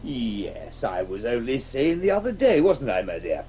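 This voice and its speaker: A man's voice: medium pitch, wildly swooping pitch, measured pace, warm tone, a little hiss or hum. A man with a British accent playing a hearty, blustering, conventional chap in a radio play, often repeating himself. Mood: jovial. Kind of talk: 1980s radio drama acting.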